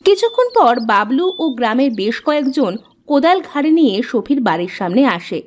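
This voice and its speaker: Animated woman narrating a children's story. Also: high-pitched, moderate pace, lo-fi sound, a little hiss or hum.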